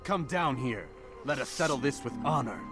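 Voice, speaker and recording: An actor playing a proud young assassin who speaks curtly and confidently, over a loud game score. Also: male voice, mid-range voice, moderate pace, over music, natural voice. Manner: curt, flat and confident.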